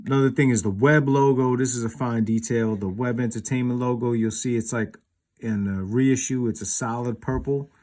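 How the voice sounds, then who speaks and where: A man's voice: medium pitch, fairly even intonation, moderate pace, darkish tone. A man giving a casual, unscripted comparison as a collector hosting his own video, explaining things in an informal, rambling way. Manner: informal, rambling, explanatory, peppered with you knows.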